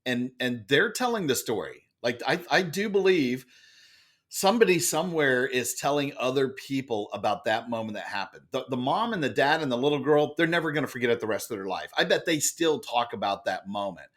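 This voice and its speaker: Loud, animated middle-aged male storyteller. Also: fairly quick. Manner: expressive, acts out quoted lines with big jumps in pitch. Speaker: guest, author and speaker.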